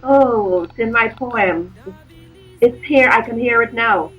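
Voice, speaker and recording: A woman's voice: on the high side, slow, slightly rough, highs cut off, faint music behind. An older woman from Guyana, reminiscing as an interview guest in a wistful, trailing way.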